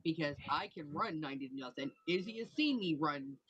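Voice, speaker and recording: A man co-hosting a casual livestream, projecting his voice and talking at length when excited. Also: brisk, husky, highs cut off. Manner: says he projects, bassy and animated when excited. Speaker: stream co-host. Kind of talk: casual group chat.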